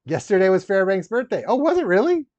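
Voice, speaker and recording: Guy on a toy collector stream, kinda higher voice, rambly and jokey, chatting w viewers, echoey room. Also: sing-song, quick pace, a little mellow. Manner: rambling, joking asides with dry teasing. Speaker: live stream host, toy collector unboxing a figure. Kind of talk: casual live-stream chat, reacting to viewers. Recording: roomy echo, dull lo-fi sound.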